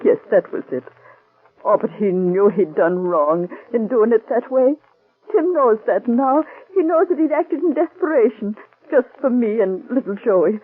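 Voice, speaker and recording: Mrs. McNulty, an anxious halting working-class wife. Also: female voice, on the high side, animated, breathy edge. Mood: pleading. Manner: anxious, halting, plain-spoken. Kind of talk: 1940s radio acting. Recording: tinny old radio broadcast.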